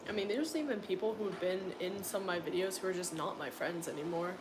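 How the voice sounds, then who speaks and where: A young woman from coastal North Carolina with a deep voice, chatting casually on a livestream and answering viewers' comments with lots of filler words. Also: a little fast, background noise. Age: young adult. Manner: rambling, filler-heavy, exasperated. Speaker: livestream host.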